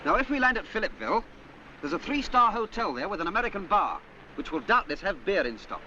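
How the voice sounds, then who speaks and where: An actor playing a wordy, formal soldier in a 1940s war film, talking like a travel brochure. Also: male voice, high voice, big pitch swings, quick pace. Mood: smug, informative. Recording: muffled 1940s film soundtrack, faint noise.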